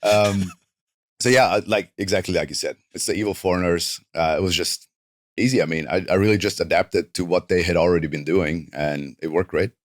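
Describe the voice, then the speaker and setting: A man in his thirties from northern Italy with a South Tyrolean German-Italian accent, whose first language is a German dialect. He talks as a guest in a relaxed, rambling way, leaning on "like" a lot. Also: sits low, a little fast, no echo, clean studio sound. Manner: relaxed, rambling, heavy use of "like". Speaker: interview guest, professional wrestler. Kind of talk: casual interview chat. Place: studio.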